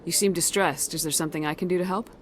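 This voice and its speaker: A woman's voice: fairly low pitch, steady tone, quick pace, slight background noise, natural voice. An actress playing a composed, determined female military commander in a video game, direct and firm. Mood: concerned, inquiring.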